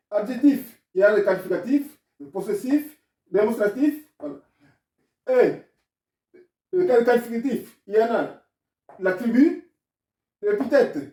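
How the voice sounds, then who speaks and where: A man's voice: very high voice, warm tone, roomy sound, far from the mic. Argumentative man with a non-native French accent. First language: not French. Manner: argumentative, insistent, explaining step by step. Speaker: self-taught grammar commentator. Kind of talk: explanatory video lesson.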